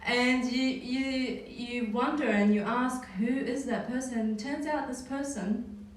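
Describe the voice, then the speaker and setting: A woman with a New Zealand accent leading a casual Bible study, talking in a conversational way with frequent "right?" tags. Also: fairly high pitch. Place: church hall. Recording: echoey hall, mic far off.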